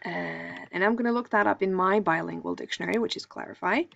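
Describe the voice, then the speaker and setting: A woman whose first language is Norwegian walks through a lesson in a calm, explanatory way, pausing with small fillers as she thinks aloud. Her voice sits on the low side. The recording sounds dull and a little lo-fi. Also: vocal fry. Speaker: teacher.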